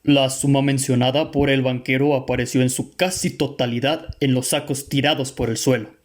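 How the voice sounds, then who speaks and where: Man voicing a hesitant radio-play helper, stammering, mid-pitched voice. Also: fairly even intonation, normal speed, natural voice. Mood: summing up. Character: hesitant male helper checking the window. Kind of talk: radio drama acting.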